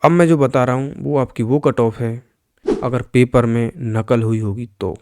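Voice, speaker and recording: A man's voice: mid-range voice, steady tone, a little slow, a little mellow, smooth, no room sound, clean studio sound. A man presenting exam news as an informal explainer, instructing viewers directly and often repeating himself. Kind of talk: informal explainer commentary over on-screen figures.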